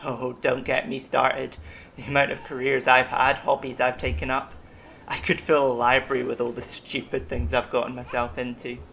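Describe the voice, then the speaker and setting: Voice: medium pitch, flat delivery, normal speed, creaky, a bit bright, a little hiss or hum. An actor playing an eccentric, long-lived alien medic in an audio drama, reflective, kindly and wryly self-deprecating. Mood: wistful, wry.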